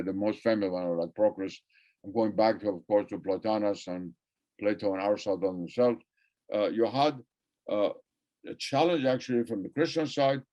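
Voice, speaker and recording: An older man whose first language is Persian delivers an academic lecture in a rather low voice. He talks in a discursive way and circles back over his own phrases to stress them. The recording sounds dull and lo-fi. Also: mellow. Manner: discursive, repeats phrases for emphasis. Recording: muffled lo-fi recording.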